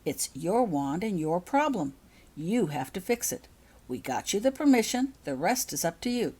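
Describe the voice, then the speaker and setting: A woman's voice: lowish voice, big pitch swings, normal speed, warm tone, slightly rough. A woman voicing a practical, bossy schoolgirl prefect in a storybook, firm and instructive. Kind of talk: storybook character voice.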